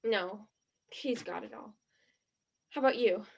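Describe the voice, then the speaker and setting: A woman's voice: medium pitch, unhurried, creaky. A woman acting a naive, earnest, dreamy young romantic in a stage play, with a slightly muffled sound. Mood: shy, wistful.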